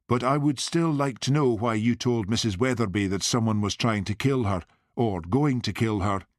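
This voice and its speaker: A man's voice: mid-range voice, normal speed, dry recording, lightly acted. A man voicing a shrewd, skeptical policeman who asks dry, pointed questions. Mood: probing.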